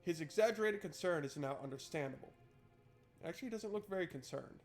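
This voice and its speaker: A man's voice: a little high, animated, quick pace, faint music behind. A man streaming a game, switching between reading narration aloud and casual, irreverent, sweary joking commentary.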